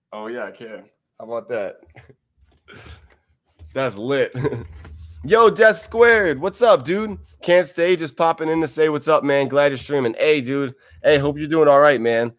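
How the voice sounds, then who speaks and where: Chill dude streaming from home, reading chat, laughs a lot, rambly. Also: male voice, mid-range voice, unhurried, long pauses. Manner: laid-back, rambling, laughs often. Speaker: livestream host. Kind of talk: casual livestream chat, reading viewer comments. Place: wide room at home. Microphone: close desk mic.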